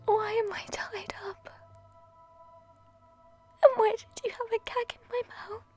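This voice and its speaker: Captive young woman, tearful whispered pleas, very high and slow, a woman acting her. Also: fairly even intonation, faint music behind. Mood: frightened pleading. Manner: breathy tearful whispering, pleading. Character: frightened young woman student held captive. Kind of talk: audio roleplay drama.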